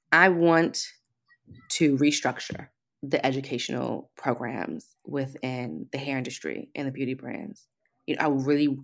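Young american woman, low voice, guest in a chat, confident, laughs a lot. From United States. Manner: animated, laughs often, self-assured. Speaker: guest, hairstylist. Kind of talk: casual interview chat.

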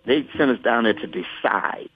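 A man's voice: mid-range voice, normal speed, soft background music. Brief: male ex-senator, emphatic folksy talk, phone-quality tinny audio. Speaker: former state senator interviewee. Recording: tinny phone-line sound.

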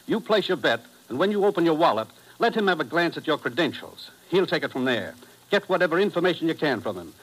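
An actor playing a brisk, authoritative American intelligence commissioner in a 1950s radio drama. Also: male voice, on the high side, big pitch swings, a bit bright, low-quality recording. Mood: commanding. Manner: clipped, authoritative.

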